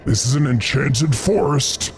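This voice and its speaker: A man's voice: mid-range voice, animated, a little slow, vocal fry, faint music behind. A man playing a bossy, sinister wolf chief in a dramatized fantasy story, speaking in a grave, commanding way. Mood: grave, brooding.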